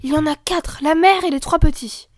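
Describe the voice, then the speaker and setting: A woman doing a shrill, excited little girl. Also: normal speed, no room sound, clean studio sound, close to an everyday voice.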